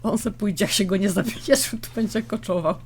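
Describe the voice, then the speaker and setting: A woman chatting casually while playing a co-op video game, colloquial, joking and quick to swear. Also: mid-range voice. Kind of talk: casual chat during co-op gaming. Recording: clear background noise.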